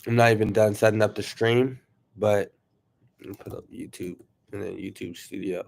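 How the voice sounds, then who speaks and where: A man casually chatting to viewers on his livestream, rambling and excitable, sniffling from allergies. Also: lowish voice, moderate pace, gravelly. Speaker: YouTuber livestreaming.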